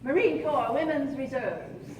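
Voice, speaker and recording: Actress as cool, alluring wartime servicewoman, unhurried and higher-voiced, vintage radio advert. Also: darkish tone. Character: cool, alluring 1940s servicewoman in cigarette commercial. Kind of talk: 1940s radio advertising sketch. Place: on stage in an opera house, live. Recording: roomy stage, distant, noisy.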